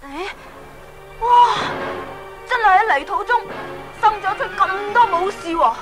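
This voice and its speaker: A woman's voice: extremely high pitch, normal speed, music in the background. A woman with a Hong Kong accent voicing a plucky, naive young male hero in a children's radio drama, eager and exclamatory. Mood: alarmed, amazed. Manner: excitable, exclamatory.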